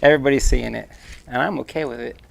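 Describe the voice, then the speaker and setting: A man's voice: medium pitch, quick pace, gravelly. A man in his thirties co-hosting a live craft stream from a workshop, chatting and teasing playfully while he works, picked up by a camera mic. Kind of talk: casual joking chat while crafting.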